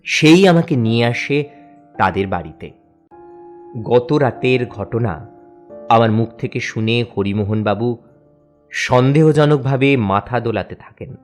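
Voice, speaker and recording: A man narrating a first-person horror story for a cartoon in a measured storytelling manner. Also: medium pitch, expressive. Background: faint background music.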